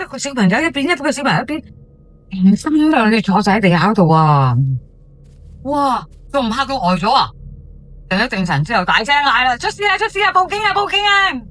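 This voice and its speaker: 女演員扮演港式口音八卦街坊太太. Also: female voice, mid-range voice, a bit bright, a little hiss or hum. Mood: shocked. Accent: Hong Kong Cantonese. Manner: colloquial, exclamatory, gossipy. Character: chatty, dramatic housewife neighbour in old public housing. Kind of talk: podcast audio drama monologue.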